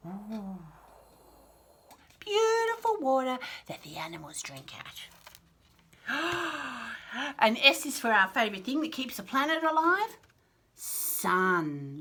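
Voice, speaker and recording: A woman hosts a read-aloud lesson for very young children. Her delivery is sing-song and highly varied in pitch, with exaggerated enunciation. She is encouraging and slips into chatty asides as she explains things. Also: wildly swooping pitch, slow pace, bright, forceful tone, husky. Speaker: children's storyteller and host.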